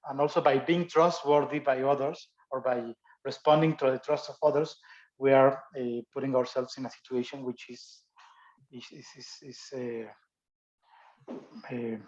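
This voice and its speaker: A man whose first language is not English, giving an academic talk and answering questions, speaking haltingly with many restarts and fillers. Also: mid-range voice, darkish tone, lo-fi sound. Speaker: guest speaker.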